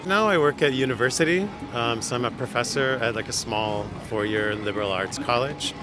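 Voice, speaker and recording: A man from the US who works as a professor, answering in a relaxed, musing way. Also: medium pitch, fairly quick. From United States. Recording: noticeable background noise.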